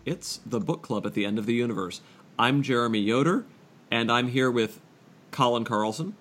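A man's voice: mid-range voice, moderate pace, fairly bright tone, slightly rough, faint music behind. A man hosting a book club podcast in casual, conversational talk. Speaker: podcast host.

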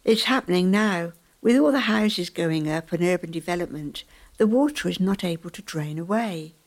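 A woman playing an earnest volunteer fish counter in a community radio play, speaking in a measured, explanatory way. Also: on the low side, expressive. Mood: concerned.

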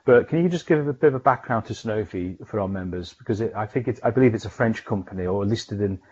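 A man's voice: lowish voice, quick pace, a little mellow, husky, lo-fi sound. A man hosting a professional interview podcast, polite and hesitant, often repeating words as he frames questions. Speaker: podcast host and chief scientist.

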